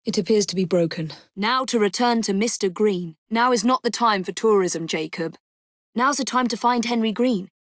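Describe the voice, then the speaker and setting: A woman with a British accent voicing a poised, determined, dry-witted young female assassin in a video game, with crisp, formal delivery. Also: mid-range voice, moderate pace, no room sound, close to an everyday voice. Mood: brisk, composed. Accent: British English. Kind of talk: scripted video game voice lines.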